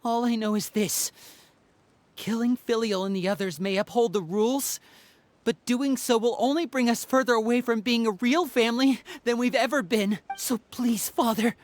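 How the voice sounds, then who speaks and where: A woman's voice: on the high side, normal speed, darkish tone, no echo, natural voice. Woman voicing a pleading earnest young member. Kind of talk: game voice acting.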